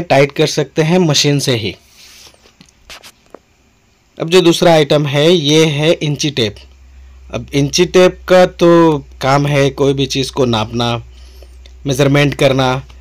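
An Indian-accented man with a fairly high voice presents hardware like a shop seller. He explains plainly and informally, repeating himself and dropping in English terms as he goes. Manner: explanatory, repetitive, mixing in English words. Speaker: tool seller demonstrating products. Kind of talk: informal product demonstration.

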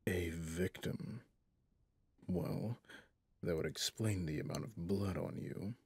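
Man acting a very husky, low vampire hunter. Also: dry recording, heavily acted. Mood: wary, restrained. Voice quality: husky, breathy, gravelly, often dropping to a whisper. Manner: slow, commanding, interrogating. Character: stern centuries-old male vampire hunter, menacing and brooding. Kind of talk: ASMR audio roleplay.

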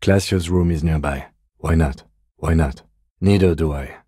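An actor playing a composed, weary police detective in a video game, with dry, deadpan, measured delivery. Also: male voice, rumbling low, slightly rough, no echo, exaggerated voice. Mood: weary, matter-of-fact.

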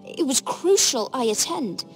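An actress playing a guarded young handmaiden in a fantasy game, speaking politely, carefully and with deference. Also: female voice, sits high, big pitch swings, measured pace, faint music behind. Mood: deferential, guarded. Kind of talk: dramatic fantasy game acting.

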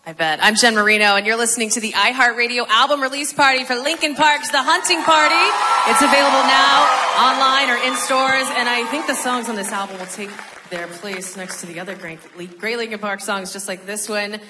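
A woman's voice: fairly high pitch, steady tone, a little fast, a crowd in the background, noisy background. A woman hosting a radio interview on stage, speaking into a handheld microphone. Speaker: radio host interviewing a band. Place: theater stage.

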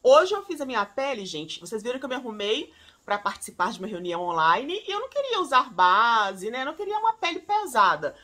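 Woman, 40s, home beauty vlogger, high crisp voice, chatty, talks to camera at close range. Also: fairly quick. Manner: animated, chatty, often addresses viewers as "gente". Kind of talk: casual product recommendations to camera. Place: home room, indoors.

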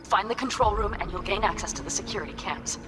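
A tough young nomad woman voiced by an actress in a video game, driven and emphatic as she gives orders. Her voice sits at a medium pitch, with music and vehicle noise behind it. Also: fast talker, natural voice. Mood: tense, commanding.

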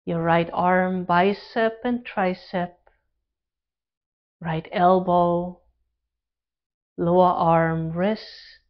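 A woman leading a guided relaxation meditation as an instructor, speaking calm, gentle instructions in short phrases with pauses between them. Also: on the low side, muffled recording.

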